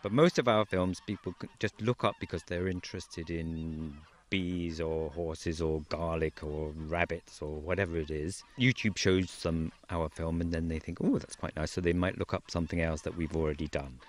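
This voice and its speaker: A man's voice: sits low, steady tone, moderate pace, few pauses. A man who grew up in Wales, talking about his farm in an easygoing way with dry humour during an outdoor interview. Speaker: interviewee, farmer and beekeeper. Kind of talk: relaxed outdoor interview chat. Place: outdoors on a farm, in a horse-drawn carriage. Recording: faint outdoor noise, geese nearby.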